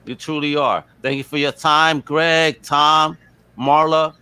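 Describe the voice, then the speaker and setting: A man's voice: fairly high pitch, fairly even intonation, slow, bright, forceful tone, smooth, no echo. A man hosting a casual podcast interview in an enthusiastic, slangy way. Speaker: podcast host.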